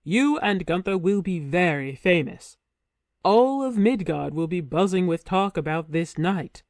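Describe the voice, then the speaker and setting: Narrator doing a scheming king, smooth, persuasive, dry recording. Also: medium pitch, expressive, a little slow, a little mellow, no room sound. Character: scheming young king, man. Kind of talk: audiobook character voice.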